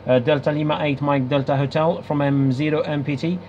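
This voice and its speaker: A man's voice: fairly high pitch, steady tone, brisk, darkish tone, lo-fi sound, a little hiss or hum. A man chatting casually as an amateur radio operator at a club station, with hesitant, repetitive phrasing. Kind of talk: casual ham radio contact chat.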